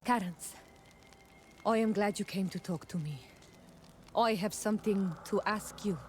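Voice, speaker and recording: An actress playing an earnest, gentle young island tribeswoman in a video game, speaking in measured, formal phrases. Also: medium pitch, slight background noise, close to an everyday voice. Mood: earnest, forthright. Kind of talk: video game romance dialogue acting.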